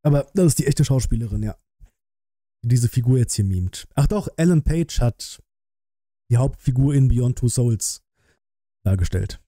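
A man in his thirties talks casually on a live stream, musing out loud in colloquial phrases. His voice is strikingly bright and crisp-edged, at a middling pitch. The sound is dry and close. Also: animated, moderate pace, long pauses, no echo. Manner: musing, colloquial, thinking aloud. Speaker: streamer reacting to a game trailer.

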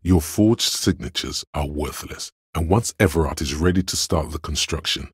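An actor voicing a cool, analytical inner voice of logic in a detective game, narrating in a measured, dryly wry way. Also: male voice, rumbling low, husky, no room sound, studio quality, heavily acted. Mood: matter-of-fact. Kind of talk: scripted second-person game narration.

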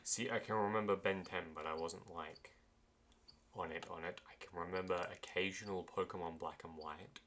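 Deep-voiced, low-key young gamer guy. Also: steady tone, normal speed, fairly bright tone, a little hiss or hum. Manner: low-key, mutters and swears at the game. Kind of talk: casual gaming chat.

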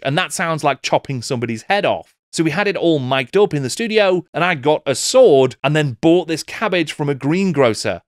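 A middle-aged man narrates a scripted video essay as its presenter. His voice sits a little high for a man. It is recorded close at a desk mic, with a dry sound. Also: no echo. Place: small book-lined home studio. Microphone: desk microphone up close.

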